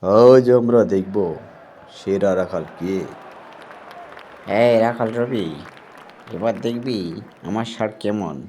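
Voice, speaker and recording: A man voicing an arrogant rich rival in a cartoon, loud and scornful. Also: on the low side, slow pace, long pauses, some chatter behind, a little hiss or hum, voice pushed down. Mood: boastful.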